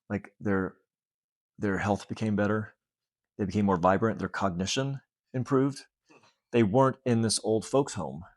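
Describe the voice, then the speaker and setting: A man's voice: lowish voice, dry recording, studio quality. A man in his late fifties talking earnestly and casually as an interview guest, often breaking off to restart. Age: late 50s. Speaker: fitness center owner, interview guest.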